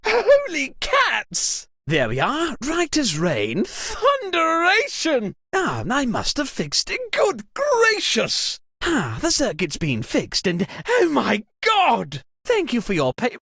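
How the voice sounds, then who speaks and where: A woman's voice: high voice, fairly bright tone, no echo, studio quality, full character voice. A woman voicing a fussy, easily scandalized upper-class party host in a video game, with a pompous, exclamatory delivery. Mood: flustered, startled. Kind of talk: theatrical scripted game voice lines.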